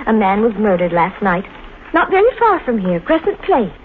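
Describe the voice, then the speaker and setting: An actress playing a sweet, chatty young wife with an innocent, coaxing manner in a 1950s radio mystery. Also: female voice, medium pitch, sing-song, normal speed, lightly acted. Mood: chatty, curious. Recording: tinny, hissy 1950s radio recording.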